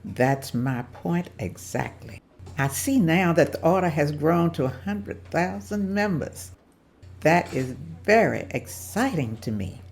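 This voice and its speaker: A woman's voice: deep voice, animated, takes it slowly, a little mellow, heavily acted. A woman at a table read playing a persuasive businesswoman who rallies other women to organize. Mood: encouraging. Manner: rallying, persuasive. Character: woman leader and businesswoman, encouraging.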